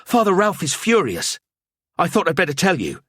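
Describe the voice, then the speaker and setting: A man voicing a nervous young monk who speaks in an anxious, hurried way. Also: high-pitched, huge pitch swings, no room sound, studio quality, pitched above the performer's own voice, full character voice.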